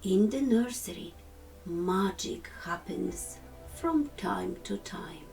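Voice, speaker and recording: A woman voicing a gentle, wise, shabby old toy horse in a storybook, speaking in a reassuring way. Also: mid-range voice, lively intonation, slow pace, vocal fry, distant mic, faint music behind, low-quality recording. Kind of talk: storybook character reading.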